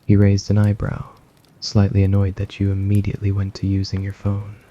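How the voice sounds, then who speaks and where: A man reading a scripted story in a soft ASMR narration style. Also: on the low side, completely flat delivery, brisk, dull audio.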